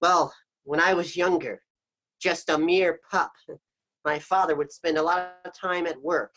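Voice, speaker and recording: A woman's voice: fairly low pitch, fairly even intonation, measured pace, a bit bright, lo-fi sound. A woman playing the big bad wolf, a bitter, mocking male villain in a community stage play. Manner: mocking, dismissive, theatrical.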